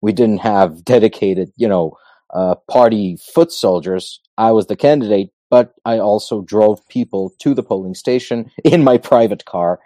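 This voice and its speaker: A man from North Macedonia, a non-native English speaker with an American-sounding accent, explaining things in a measured way as a guest on a talk show. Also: on the low side, warm tone, no echo. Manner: measured, explanatory, thinks aloud. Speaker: guest, politician. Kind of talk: casual interview talk.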